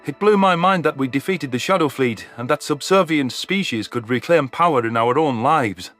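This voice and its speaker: A man's voice: medium pitch, normal speed, fairly bright tone. A man reading scripted first-person science fiction narration as an audio story narrator. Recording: music under the voice.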